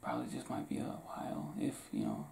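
Young man, half-whispered, laid-back, gravelly, close to the camera, small room. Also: a bass voice, very animated, a little fast, a little mellow, slight background noise. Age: young adult. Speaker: ASMR roleplay creator. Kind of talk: ASMR roleplay, spoken to the listener. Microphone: camera close to face.

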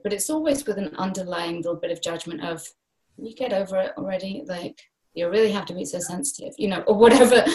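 A woman's voice: lowish voice, poor audio. A woman in her thirties, a guest speaker, talking casually and animatedly over a laptop video call from a small room. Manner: animated, gestures widely with both hands. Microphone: laptop webcam on a video call.

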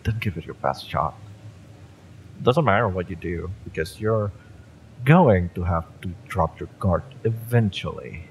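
A man's voice: deep voice, lively intonation, a little slow, slight background noise, rough recording. A man playing a fiery, bitter young mercenary in an audio roleplay, sardonic and taunting, with sighs and swearing. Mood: taunting, impatient. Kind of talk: romance audio roleplay acting, addressed to the listener.

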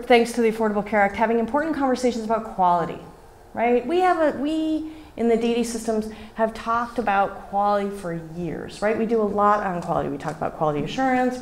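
A middle-aged woman giving a conference keynote at a podium microphone in a hotel hall, animated and often asking "right?". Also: medium pitch, a little hiss or hum. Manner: animated, gestures widely, asks rhetorical "right?". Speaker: keynote speaker.

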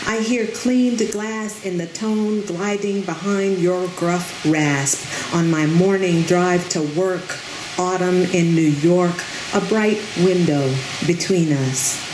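A middle-aged woman reading her own poetry from a podium at an outdoor tent stage, in a measured, deliberate way. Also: fairly low pitch. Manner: slow, measured, deliberate delivery. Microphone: podium microphone on a stand. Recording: roomy sound with steady background noise.

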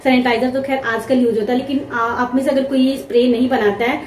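A woman's voice: high-pitched, level tone, quick pace, a little mellow, slight background noise. A young woman from India chatting casually to viewers in a home vlog, explaining things step by step in her kitchen. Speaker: vlogger. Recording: echoey home room.

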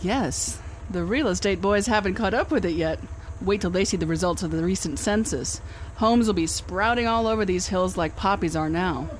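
Woman doing a wry no-nonsense private eye, brisk, old-time radio style. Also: medium pitch, slight background noise, natural voice, low-quality recording. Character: Candy Matson, brisk wisecracking female private eye. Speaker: narrator and lead detective. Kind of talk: live 1950s radio drama acting.

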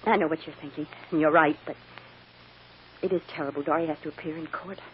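An actress playing an anxious, devoted woman accused of a crime, speaking earnestly and haltingly in an old-time radio drama. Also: deep voice, fairly even intonation, natural voice. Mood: subdued, troubled. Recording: muffled, hissy old radio recording.

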